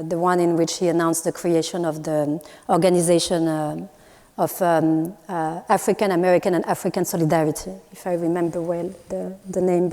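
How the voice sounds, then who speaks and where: Female artist from Morocco, native Moroccan Arabic speaker, lowish mellow voice, hesitant lecture delivery. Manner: thoughtful, hesitant with many fillers and restarts. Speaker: artist in a panel talk. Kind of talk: public talk at a university event. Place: lecture hall. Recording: long hall reverb.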